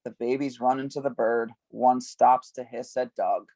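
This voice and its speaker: A man's voice: mid-range voice, little pitch movement, moderate pace, warm tone, no echo. A man reading stage directions at a script table read, giving scene headings some emphasis.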